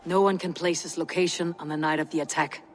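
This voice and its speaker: An actress playing a probing, reserved Viking warrior investigator in a video game cutscene. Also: female voice, sits low, steady tone, brisk, faint music behind, lightly acted. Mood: grave, questioning.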